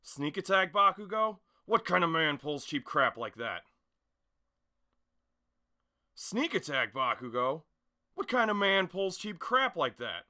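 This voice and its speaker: A man's voice: a little high, very animated, measured pace, sharp tone, pitched above the performer's own voice, full character voice. A man playing a brash, spirited teenage boy superhero student in an anime dub, with punchy, emphatic delivery. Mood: indignant. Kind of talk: anime dub audition acting.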